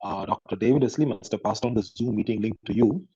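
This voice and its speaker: Professor guy, low mellow voice, umms a lot, muffled dry zoom audio. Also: quick pace, slightly rough, dry recording. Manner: hesitant, with frequent fillers. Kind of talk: class announcement. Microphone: video call. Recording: dull lo-fi call audio.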